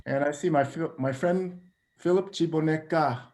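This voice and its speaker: A man's voice: a little high, normal speed, darkish tone. A man hosting a video call, presenting a slideshow talk in a friendly, plain way. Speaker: travel photography company head, presenter.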